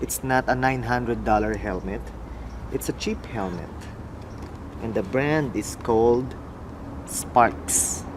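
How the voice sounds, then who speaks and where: Slow, mumbling Filipino man. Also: mid-range voice, background noise. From Philippines. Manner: slow, mumbling, musing aloud. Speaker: motorcycle vlogger. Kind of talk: casual product unboxing vlog.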